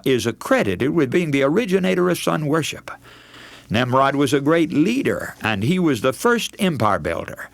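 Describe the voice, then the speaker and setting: Radio preacher with animated, swooping delivery. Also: male voice, medium pitch, no echo. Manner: emphatic, declamatory, addresses listeners as friends. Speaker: radio preacher, broadcast host. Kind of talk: scripted radio sermon.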